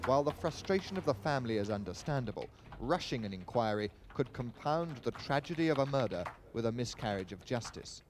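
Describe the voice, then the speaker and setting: A man's voice: medium pitch, lively intonation, a little fast, few pauses, darkish tone, a little hiss or hum. A man reading a scripted television news report as a reporter. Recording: archive TV report with street hubbub.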